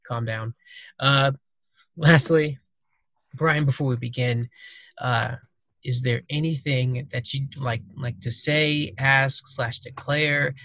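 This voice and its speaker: A man's voice: mid-range voice, steady tone, takes it slowly, pushed and bright, dry recording. A man hosting a casual conversational podcast, talking loosely with plenty of fillers and restarts. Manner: loose, rambling, many fillers. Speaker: podcast host.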